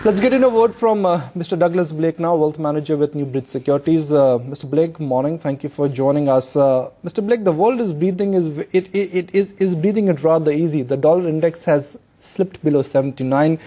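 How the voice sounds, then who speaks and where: A man's voice: on the high side, fairly quick, hardly any pauses, muffled recording. A man with an Indian accent interviewing as an anchor on live financial news.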